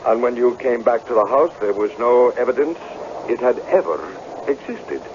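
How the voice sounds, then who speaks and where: An actor playing a stern, coldly mocking laird in an old-time radio drama, measured and sardonically polite. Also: male voice, mid-range voice, darkish tone, lightly acted. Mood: sardonic. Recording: dull, faintly noisy vintage radio recording.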